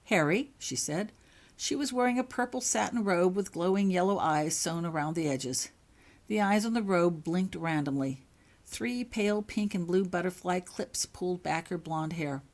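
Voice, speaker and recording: A woman's voice: fairly low pitch, darkish tone. A woman narrating a scripted story aloud in an audiobook-like reading. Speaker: author reading own fan fiction aloud.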